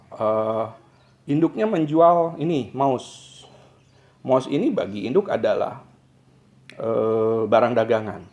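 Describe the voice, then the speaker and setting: An Indonesian man speaks as a lecturer in a mid-pitched voice. His intonation swings widely as he explains step by step. He teaches in a didactic way, posing questions and answering them himself. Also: gravelly, darkish tone, lo-fi sound. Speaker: accounting lecturer.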